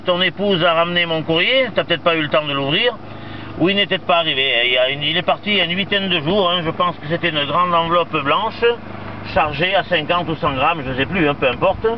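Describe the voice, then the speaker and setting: A muffled, noisy two-way radio signal carries a man with a distinctly high voice. He chats casually as a radio hobbyist, talkative and dropping operator jargon as he goes. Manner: chatty, peppers talk with radio jargon. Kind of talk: casual radio contact chat.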